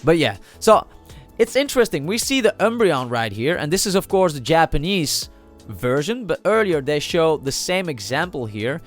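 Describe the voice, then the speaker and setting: A man's voice: on the high side, big pitch swings, normal speed, faint music behind. A man with a cold and a slightly nasal voice, talking casually over a card video and thinking aloud with many fillers and restarts. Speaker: trading card channel host.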